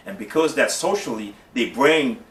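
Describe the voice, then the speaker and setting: A man's voice: mid-range voice, animated, moderate pace, a bit bright. A man from Haiti, talking as a guest in a casual discussion, earnest and explanatory, often asking if the listener follows. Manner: earnest, explanatory, repeats "you see what I'm saying". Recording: echoey room, mic at a distance, faint noise.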